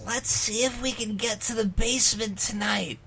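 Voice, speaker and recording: A man voicing a mischievous, excitable schoolboy wizard from fan fiction with exaggerated delivery. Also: sits high, moderate pace, gravelly, a little hiss or hum, pitched above the performer's own voice, full character voice. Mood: conspiratorial.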